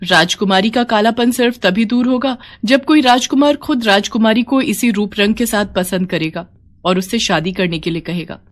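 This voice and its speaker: An imperious dark fairy from a children's storybook, acted by a woman. She is vengeful and indignant, declaiming like someone who expects to be obeyed. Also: mid-range voice, normal speed, natural voice. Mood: stern.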